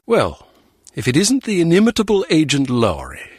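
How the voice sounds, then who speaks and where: An actor playing a haughty, disapproving older spy-agency official in a video game, formal and sarcastic in manner. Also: male voice, on the high side, wildly swooping pitch, normal speed, a little mellow, husky, dry recording, clean studio sound, heavily acted. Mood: sarcastic, disdainful.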